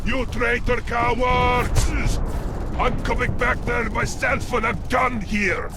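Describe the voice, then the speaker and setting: Bellowing, hot-blooded hulking brute soldier. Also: male voice, very high-pitched, fairly even intonation, takes it slowly, heavy background noise, exaggerated voice. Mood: furious battle cry. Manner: rough, bellowing. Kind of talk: audio drama acting. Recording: loud battle effects of gunfire, explosions.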